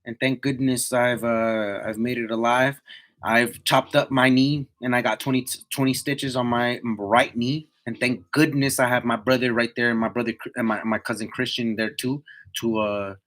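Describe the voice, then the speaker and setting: A man's voice: mid-range voice, fairly even intonation, moderate pace, a bit bright. A man in his twenties chatting casually to live viewers in a slangy, motivational way, repeating himself for emphasis. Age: 20s. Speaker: livestream host, tree climber.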